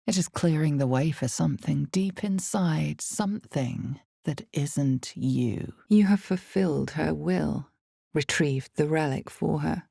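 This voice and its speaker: A woman playing the knowing, ominous narrator of a dark fantasy game, brooding and deliberate, with weighted pauses and hushed drops. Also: low voice, creaky, breathy edge, no echo, clean studio sound, heavily acted. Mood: ominous, measured. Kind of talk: dramatic scripted second-person fantasy narration.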